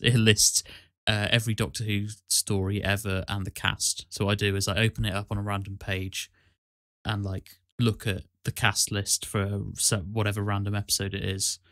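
A man with a fairly low voice talks casually on a podcast. His delivery is quite flat and even, with halting explanations, and the sound is dry and close. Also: dry recording. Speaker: podcast host and amateur voice actor.

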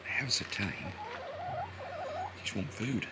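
A man in his fifties, relaxed and chatty with frequent fillers, filming himself at arm's length while walking around a riverside campsite. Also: fairly low pitch, a little slow. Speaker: vlogger touring a campsite. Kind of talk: casual walk-around commentary. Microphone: handheld camera at arm's length. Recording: outdoor camera audio with clear ambient noise. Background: birdsong and rural outdoor ambience.

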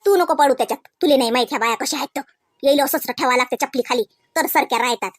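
A woman's voice: high-pitched, fast talker, no room sound, clean studio sound. A woman voicing a bossy, stingy village mother in a comedy cartoon, scolding bluntly in a Vidarbha Marathi accent. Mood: dismissive, grumbling. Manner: scolding, blunt, badgering with questions. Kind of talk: comedy cartoon dialogue in rural dialect.

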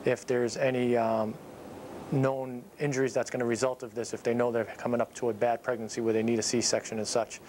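A man in his thirties giving an informal training talk as an instructor, explaining things in a halting, matter-of-fact way. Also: mid-range voice, level tone, darkish tone, a little hiss or hum, poor audio. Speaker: paramedic instructor. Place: small dispatch office, indoors. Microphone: clip-on lapel mic.

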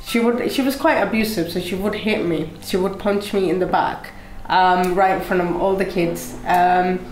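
A woman's voice: lowish voice, fairly even intonation, normal speed, reverberant, slight background noise, poor audio. A young woman from Pakistan whose first language is Urdu, telling her life story to an interviewer with many fillers and restarts. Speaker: motivational speaker, guest. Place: indoor studio set with brick wall, seated at table.

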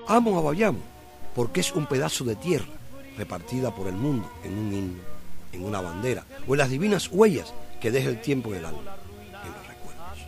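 A man hosting a radio show, reading a poetic text in an earnest, declamatory way. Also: mid-range voice. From Cuba. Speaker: radio host.

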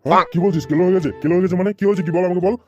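A man's voice: fairly high pitch, racing, muffled recording, faint music behind, lightly acted. A man playing a hot-tempered, blustering, foul-mouthed gang boss in an amateur comedy cartoon. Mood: angry, threatening. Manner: blustering, threatening, swearing.

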